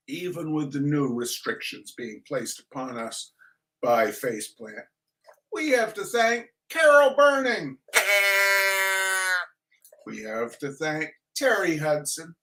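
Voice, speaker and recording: Male livestream host, higher-pitched voice, unhurried and rambling, theatrical with mock-grand flourishes. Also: huge pitch swings, fairly bright tone. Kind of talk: casual rambling livestream introduction with shout-outs.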